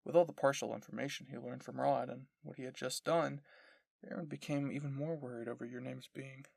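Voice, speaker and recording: A man's voice: medium pitch, steady tone, brisk, vocal fry, a little mellow, dry recording. A man narrating scripted fan fiction as the host of an audio story channel.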